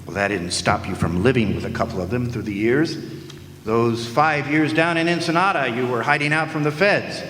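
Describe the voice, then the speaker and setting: A man's voice: mid-range voice, normal speed, a bit bright, close to an everyday voice. A man playing a sardonic hardboiled private eye. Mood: wry. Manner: dry, sardonic noir narration. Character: wisecracking hardboiled male private eye narrator. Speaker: lead actor and narrator. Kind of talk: live stage radio play. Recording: echoey hall with faint noise.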